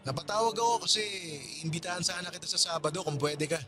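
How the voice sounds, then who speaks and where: A man in a radio drama playing a polite male friend who keeps apologizing and warmly invites someone over. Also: on the high side, fast, lightly acted. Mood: friendly.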